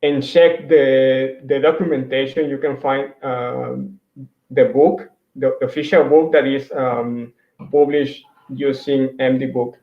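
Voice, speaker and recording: A man walks through his slides at an ordinary pitch, pausing on fillers and restarting his sentences as he goes. His voice comes through a video-call mic in an echoey room. The sound is somewhat dull and lo-fi. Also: fairly even intonation. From Mexico. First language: Spanish. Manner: hesitant, many restarts and fillers. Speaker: conference speaker. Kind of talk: virtual tech talk with slides. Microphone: computer mic on a video call.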